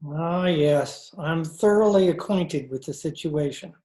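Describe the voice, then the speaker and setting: An actor playing a cultured, nervous judge and pool hustler, speaking in a fussy, theatrical old-time radio style. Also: male voice, fairly high pitch, a little slow, dark tone, natural voice. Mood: resigned, courtly. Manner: pompous, fussy, theatrical speech. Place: indoor room, recorded over video call. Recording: roomy, echoing video-call recording.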